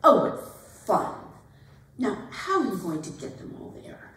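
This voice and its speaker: A woman acting a giggly, earnest young society debutante in a theatrical monologue, gushing and halting as she talks. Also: mid-range voice, very animated, a bit bright, recorded from a distance. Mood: gushing excitement. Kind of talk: theatrical dramatic monologue at a staged reading. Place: arts center performance space. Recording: echoey hall, picked up from afar.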